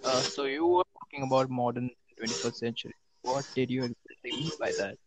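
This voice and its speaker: A man's voice: medium pitch, steady tone, fairly quick, background noise. A man from India hosting a casual online voice call chat, often repeating himself over a bad line. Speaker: podcast host. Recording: dull lo-fi online call audio.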